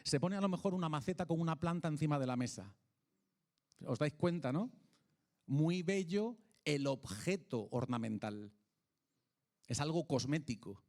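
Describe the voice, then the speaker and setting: A man with a Peninsular Spanish accent giving an informal public lecture in a conversational, halting way, often checking in with short tag questions. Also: on the high side, animated, darkish tone. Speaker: speaker, philosopher of science and author.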